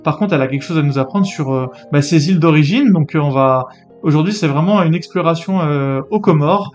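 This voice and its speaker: A man's voice: fairly high pitch, fairly quick, highs cut off, soft background music. A man hosting a podcast interview, talking hesitantly with many fillers and restarts. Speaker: podcast host. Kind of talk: casual interview.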